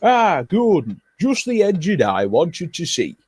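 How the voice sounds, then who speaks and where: A stern, bossy railway boss, as a man puts him on, giving firm orders. His voice sits higher than the narrator's and moves in lively swings. Soft music runs underneath. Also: a little slow, breathy edge, no echo, full character voice. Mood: authoritative. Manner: firm, authoritative.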